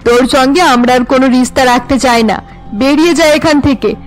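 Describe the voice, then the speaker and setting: A harsh middle-aged cartoon mother, acted by a woman, obsessed with honour. Her voice is high and scolding, pushed into melodrama. Light music plays behind her. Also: moderate pace, natural voice. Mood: angry, scolding. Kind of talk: cartoon voice acting.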